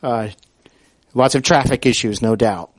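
A man with an average-pitched voice hosts a podcast interview. He speaks casually, feeling his way into questions in a slightly halting manner.

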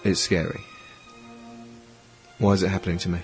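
A man's voice: sits low, level tone, moderate pace, lightly acted. A man playing an earnest, troubled schoolboy in an audio drama. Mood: anxious, confiding. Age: teenage schoolboy figure. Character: earnest, troubled schoolboy pleading against violence. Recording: music under the voice.

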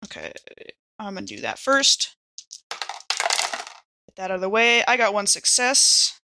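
A woman's voice: medium pitch, huge pitch swings, slow, background noise. A woman playing a tabletop role-playing game, thinking aloud and asking questions in informal, casual chat.

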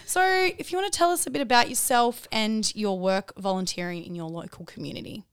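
Woman podcast host, smooth resonant voice, chatty and encouraging, dry close mic. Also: medium pitch, very animated, a little fast, no echo. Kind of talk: podcast interview hosting.

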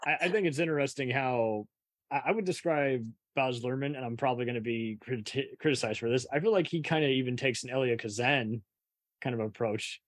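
A man's voice: medium pitch, brisk. A man hosting a casual interview, rambling through his opinions like a keen film buff.

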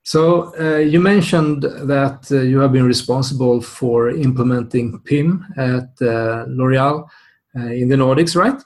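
A man's voice: medium pitch, fairly even intonation, a little slow. A man hosting an interview, asking questions with frequent uhs and restarts. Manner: hesitant questioning with many uhs. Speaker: interview host.

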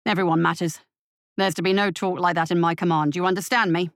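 A stern, defiant male police captain as a woman narrator acts him out in a fantasy audiobook. His voice is pitched low and dry, curt and commanding, full of authority. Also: steady tone, fast talker, no room sound, lightly acted. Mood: curt, firm.

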